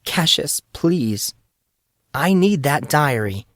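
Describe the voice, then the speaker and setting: A fierce teenage girl fighter, her voice pitched well up and strained with desperation, as a male narrator puts her on. She presses hard, defiant and close to breaking, in a dry, close audiobook sound. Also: lively intonation, takes it slowly, a bit bright, no echo, full character voice. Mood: pleading. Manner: pleading, defiant.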